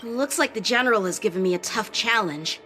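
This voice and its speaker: A woman's voice: medium pitch, animated, a little fast, bright, forceful tone. An actress playing a practical young female officer who talks in a casual, friendly way. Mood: earnest. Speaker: military officer. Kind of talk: game voice acting.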